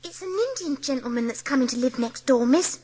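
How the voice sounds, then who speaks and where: Woman as a high-pitched gossipy servant girl, reverberant. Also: animated, fairly quick, darkish tone. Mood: excited, confiding. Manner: uneducated, chatty dialect speech. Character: humble, gossipy young servant girl in a storybook.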